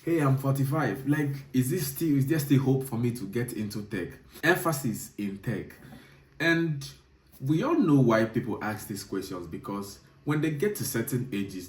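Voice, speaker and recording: A man's voice: on the low side, darkish tone. A man in his thirties giving advice straight to viewers, speaking emphatically and repeating his key points. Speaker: video host, software developer. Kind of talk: direct-to-viewer advice monologue. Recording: roomy echo, distant mic.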